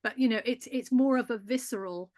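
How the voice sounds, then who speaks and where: A woman co-hosting a live garden chat on a video call, animated and quick to laugh. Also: fairly high pitch. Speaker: co-host. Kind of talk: casual chat on a live stream.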